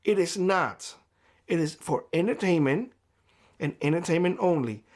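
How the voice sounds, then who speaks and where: A man with a fairly high voice talks straight to camera in a vlog. His voice is hoarse, as if he is losing it. His advice is blunt and lecturing. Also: lively intonation, a little slow, darkish tone. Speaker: prepper YouTuber. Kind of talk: direct-to-camera vlog monologue. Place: homestead.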